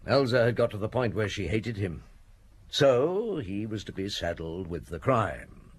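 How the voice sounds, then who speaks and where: An actor playing a shrewd, relentless chief inspector in a radio detective drama, speaking deliberately with probing questions and dramatic pauses. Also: male voice, lowish voice, sing-song, breathy edge, full character voice. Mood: accusing, methodical. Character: shrewd, relentless male French police chief inspector summing up.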